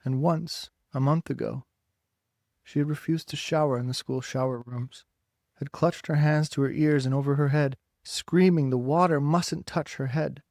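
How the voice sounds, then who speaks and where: A man narrating a literary story dramatically, in deliberate, separate phrases. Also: fairly high pitch, fairly even intonation, darkish tone, no room sound, studio quality. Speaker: audiobook narrator.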